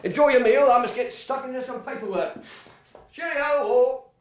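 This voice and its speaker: A man's voice: very high voice, normal speed, echoey room. A man playing a hearty, joking theatre manager in a period radio drama. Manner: hearty, breezy. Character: jovial, bluff theatre manager, male, breezy and joking.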